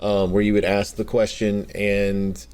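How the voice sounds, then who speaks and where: A man's voice: on the low side, level tone, a little slow, a little mellow, smooth. A man hosting a podcast, talking through gaming news in a casual, unscripted and somewhat hesitant way. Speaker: podcast host.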